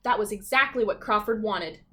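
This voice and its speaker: A woman teacher reading a children's storybook aloud expressively, pausing to put simple questions to listeners. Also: mid-range voice, bright, forceful tone.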